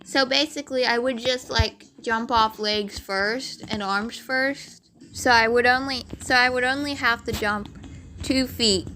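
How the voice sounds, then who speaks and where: Slow, raspy-voiced young woman vlogger. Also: on the high side, steady tone, pushed and bright, soft background music. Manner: rambling, heavy on "like" fillers. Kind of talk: storytime narration over game footage.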